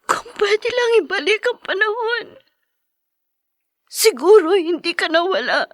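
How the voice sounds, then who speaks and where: A woman's voice: extremely high pitch, sing-song, a little slow, fairly bright tone, dry recording, studio quality, exaggerated voice. An actress playing a young woman grieving her mother, tearful and pleading. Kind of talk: radio-style drama acting.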